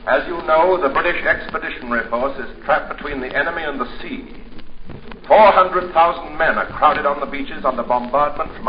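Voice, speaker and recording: A man's voice: fairly high pitch, slight background noise, natural voice. A man playing a commanding naval officer. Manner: formal, commanding announcement. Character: naval officer briefing boat crews. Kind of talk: 1940s radio acting. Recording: echoey muffled 1940s radio broadcast.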